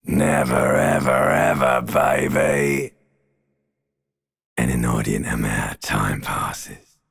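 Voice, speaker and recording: A man voicing an ancient reptilian brain in a video game, a seductive, menacing, world-weary inner voice with a guttural rasp and sardonic crooning. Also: extremely low pitch, fairly even intonation, a bit bright, heavily acted. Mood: brooding, sinister. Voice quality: gravelly, raspy, guttural croak. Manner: slow, drawn-out, mock-soothing, sardonic crooning. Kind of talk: theatrical video game voice acting.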